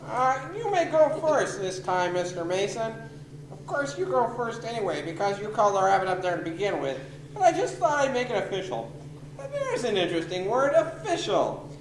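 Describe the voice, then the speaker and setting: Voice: medium pitch, sing-song, normal speed, natural voice. An actor playing a rambling, easily distracted judge who speaks hesitantly in a radio comedy. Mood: musing. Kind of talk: old time radio comedy acting. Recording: echoey, noisy amateur recording.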